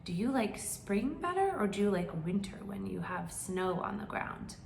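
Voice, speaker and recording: A woman's voice: mid-range voice, sing-song, moderate pace, gravelly, darkish tone, echoey room. A woman leads a read-aloud for young children in a teacher-like way. She explains things simply and asks the listeners questions, with some background noise on the recording.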